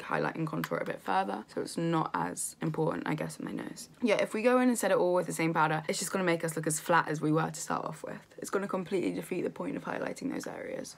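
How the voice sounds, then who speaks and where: A woman with a croaky voice giving a chatty makeup tutorial in her bedroom, full of "literally" and "like". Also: on the low side. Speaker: makeup vlogger. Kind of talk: casual beauty tutorial.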